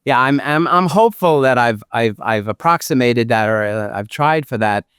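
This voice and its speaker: A man's voice: mid-range voice, expressive, normal speed, fairly bright tone, clear, resonant tone, no echo, clean studio sound. A man with a nasal New York accent, chatting as a self-deprecating podcast guest who often repeats his phrases. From Brooklyn, New York. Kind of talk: casual interview conversation.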